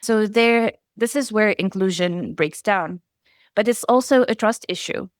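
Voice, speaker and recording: A woman whose first language is Arabic, giving a prepared conference presentation in a measured way, reading lists item by item. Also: medium pitch, smooth, dry recording, clean studio sound. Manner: measured, enumerating lists steadily. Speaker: researcher presenting.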